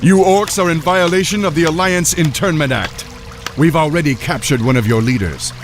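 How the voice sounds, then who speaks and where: A man's voice: fairly high pitch, moderate pace, noisy background, close to an everyday voice. A man playing a stern human soldier in a video game, declaiming with authority. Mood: stern, threatening. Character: stern human male soldier demanding surrender. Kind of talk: video game cinematic acting.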